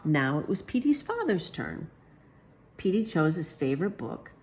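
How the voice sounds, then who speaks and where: A woman storyteller with a slightly low voice reads a bedtime story to children. Her delivery is expressive, and she acts out the lines of the characters. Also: moderate pace. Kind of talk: children's picture book read aloud.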